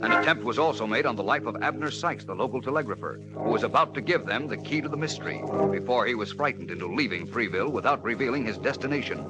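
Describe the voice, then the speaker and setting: A man's voice: mid-range voice, animated, fast talker, hardly any pauses, poor audio. A man announces and narrates in 1940s radio style. His mid-range voice is theatrical and suspenseful, over prominent music on a vintage recording.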